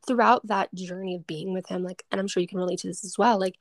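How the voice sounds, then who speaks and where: A woman in a casual chat, quick with affirmations and supportive remarks. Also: medium pitch, fairly even intonation, no room sound, clean studio sound.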